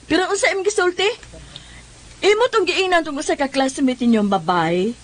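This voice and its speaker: Woman playing a high-voiced, scolding village woman. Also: normal speed, lightly acted. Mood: lecturing. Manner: nagging, lecturing. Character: scolding, exasperated village woman, morally reproving. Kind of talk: Cebuano comedy radio drama acting.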